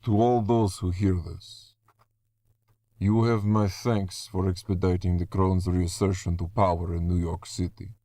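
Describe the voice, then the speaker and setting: A cold supernatural male villain, played by a man, very deep and dark in tone. He speaks slowly and formally, with haughty menace, in a dry horror audio drama recording. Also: no room sound.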